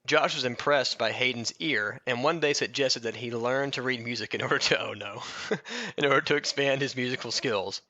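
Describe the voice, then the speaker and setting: Male podcast host, forceful bright tone, mock-biography reading with casual jokey asides, slightly dulled recording. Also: mid-range voice, normal speed, dry recording, dull audio. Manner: jokey, often breaking into laughter mid-sentence.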